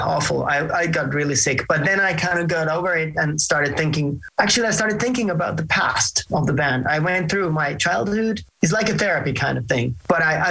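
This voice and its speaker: A man from Finland with a Finnish accent, speaking English as a second language, giving relaxed, reflective interview answers with fillers and restarts. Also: fairly high pitch, rough recording. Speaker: band singer, interview guest.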